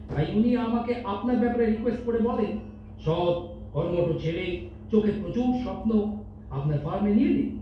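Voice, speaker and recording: Guy playing a pompous impatient office boss telling someone off, drops english words, stage audio drama, far from mic in a big echoey room. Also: high voice. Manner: scolding, pompous, peppers speech with English. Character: stern, condescending male company boss, business-minded and impatient. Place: multipurpose hall stage. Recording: distant echoey hall, dull, faint noise.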